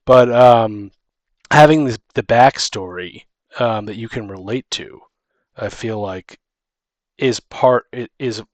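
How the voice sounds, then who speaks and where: A man with a mid-pitched voice talks casually as a podcast co-host, recorded dry with no room sound. He rambles a little, doubling back on his phrasing as he goes. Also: takes it slowly, dry recording. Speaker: podcast co-host and game master. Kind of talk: casual podcast chat about tabletop gaming.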